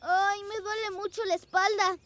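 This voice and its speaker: A performer voicing a plucky, bossy little boy superhero rabbit in a children's cartoon dubbed in Latin American Spanish, excitable and childlike. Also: extremely high pitch, moderate pace, a little hiss or hum. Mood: playful, excitable.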